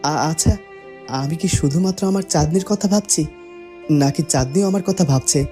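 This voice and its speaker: A man's voice: high voice, brisk, dark tone, soft background music, natural voice. A man voicing a lovesick young cartoon prince who muses dreamily to himself with small stammers. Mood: longing, pensive. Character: lovesick young prince longing for his bride. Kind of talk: cartoon voice acting, romantic monologue.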